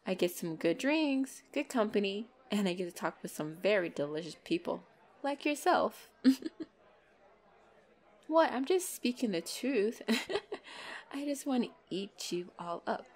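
A woman's voice: medium pitch, big pitch swings. A woman voicing a flirtatious, teasing female vampire in an intimate ASMR roleplay, playful and giggly with a hungry undertone. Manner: playful, giggly, slow and coy, dropping into breathy whispers. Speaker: roleplay voice performer. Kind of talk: ASMR roleplay, intimate one-sided dialogue.

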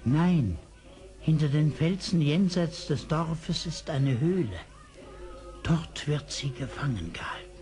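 A man playing a gentle, pious singer with halting, flowery diction in an audio drama. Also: on the high side, expressive, faint music behind. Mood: hushed. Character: gentle, pious, bookish male psalm singer. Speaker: actor in a radio play. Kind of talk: 1970s German audio drama acting.

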